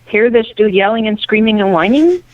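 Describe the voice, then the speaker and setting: A woman's voice: medium pitch, lively intonation, fairly quick, no room sound. A middle-aged woman guesting on a podcast, reading comments aloud and chatting casually over dull, radio-like audio. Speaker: guest reader, a host's mother.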